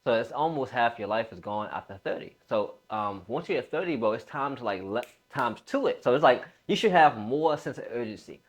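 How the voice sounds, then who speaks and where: A man's voice: medium pitch, animated, a little fast, hardly any pauses. A man in his late twenties giving a casual motivational talk as a fitness coach, emphatic, repeating phrases and using informal bro slang.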